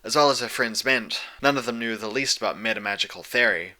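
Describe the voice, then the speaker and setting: A man's voice: lowish voice, brisk, bright, forceful tone. A man reading scripted fiction as an audiobook narrator.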